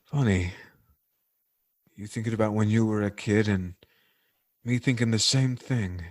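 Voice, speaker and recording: An actor playing an earnest, plain-spoken working-class man in 1940s radio drama style, with a North American accent and halting, slangy speech. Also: fairly low pitch, no room sound, clean studio sound, close to an everyday voice. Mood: reflective, reluctant. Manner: halting, groping for words, slangy American everyman.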